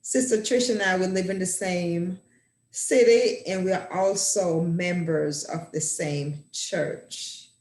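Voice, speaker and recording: A woman's voice: lowish voice, slow, a little mellow. A woman hosting a talk show, giving formal, scripted introductions. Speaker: host. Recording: echoey room.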